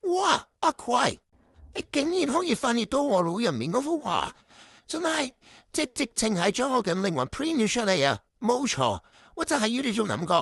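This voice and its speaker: A woman's voice: a little high, big pitch swings, normal speed, husky, dry recording, heavily acted. A woman playing a dramatic, demanding boss with a pompous, theatrical manner in a comedic radio drama. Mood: delighted. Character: dramatic, demanding boss who talks in abstract riddles.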